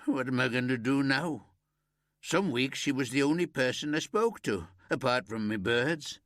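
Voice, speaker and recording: A man voicing a grieving old ex-miner from Yorkshire who keeps birds, in a broad homely Yorkshire accent, pitched above his own narrating voice. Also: normal speed, no room sound, clean studio sound, natural voice. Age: older. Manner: broad and homely, higher and warmer than the narrator.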